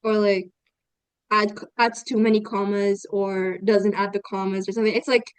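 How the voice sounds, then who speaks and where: A woman's voice: medium pitch, flat delivery, moderate pace, clean tone, dry recording. A young woman co-hosting a podcast, chatting casually and giving listeners friendly advice. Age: young adult. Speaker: podcast co-host.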